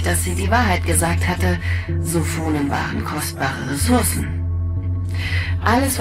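An actress narrating a science fiction radio drama in a scripted style. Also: female voice, fairly low pitch, lightly acted. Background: music.

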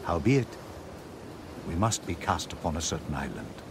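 A man's voice: sits low, lively intonation, normal speed, noisy background. A man playing a steadfast apostle in a dramatized scripture reading, earnest and declamatory as he exhorts frightened shipmates. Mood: earnest, reassuring.

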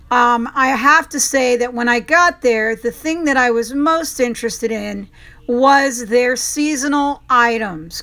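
A woman's voice: fairly high pitch, fairly bright tone. A woman hosting a local show, narrating with chatty enthusiasm and easy fillers. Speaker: host. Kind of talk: upbeat local promo narration.

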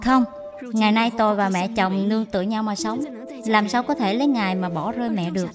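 A woman voicing a gentle, dutiful young daughter-in-law in a cartoon dub, soft, earnest and deferential. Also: medium pitch, brisk, music in the background, lightly acted.

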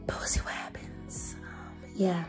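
A young woman chatting to her phone camera at her desk in a casual vlog. She is hoarse, her voice nearly gone, and talks in a chatty, expressive way. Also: measured pace, whispered. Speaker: vlogger. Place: bedroom at a desk, indoors. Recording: music playing under the voice. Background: lofi music bed.

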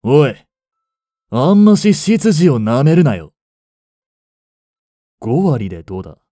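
A man playing a suave, greedy young butler in a romance audio drama, polite and softly menacing in an unhurried way. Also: medium pitch, big pitch swings, no echo, studio quality. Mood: wavering, sly. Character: suave, greedy young male butler turned possessive betrayer.

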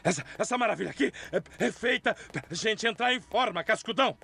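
A man's voice: high voice, animated, a little fast, gravelly, bright, forceful tone, full character voice. A Brazilian man playing a goofy, carefree bread character in a cartoon, exuberant and cheeky. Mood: gleeful. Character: goofy, carefree male bread roommate, excitable schemer. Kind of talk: cartoon comedy dialogue. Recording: music bed behind dialogue.

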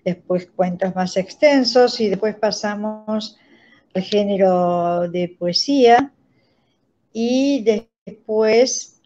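An older Argentine woman speaks as a guest on a video call, with dull, lo-fi sound. She explains in a slow, didactic manner, and her tone is soft-edged and mellow. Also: medium pitch. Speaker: guest, radio theatre director and writing teacher. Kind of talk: explanatory interview talk. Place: indoors, plain room on a video call.

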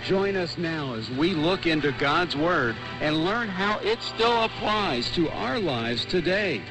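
A man reading scripted announcements for a religious radio station in a formal, measured way. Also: on the high side, lively intonation, low-quality recording. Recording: shortwave radio with music behind. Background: music bed.

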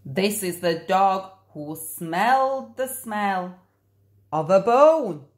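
A woman reading a children's picture book aloud in an expressive, deliberate storytelling manner. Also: mid-range voice, echoey room. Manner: slow, animated, deliberate storytelling.

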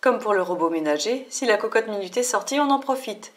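A woman host narrating a scripted cooking tutorial voice-over in a clear, upbeat instructional way. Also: medium pitch, expressive, fairly quick.

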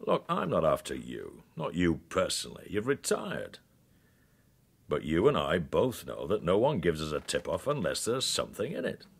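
An actor playing a menacing, sardonic British secret service interrogator in a radio crime drama, speaking in a measured, insinuating way. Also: male voice, deep voice, huge pitch swings, vocal fry, breathy edge, exaggerated voice.

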